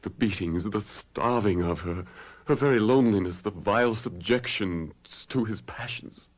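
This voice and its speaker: An actor playing a tormented gentleman lover in radio drama, fervent and melodramatic in formal period speech. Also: on the low side, normal speed, close to an everyday voice, low-quality recording. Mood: tormented, emotional. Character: anguished young gentleman lover, passionate, desperate, tormented. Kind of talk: 1970s radio drama acting.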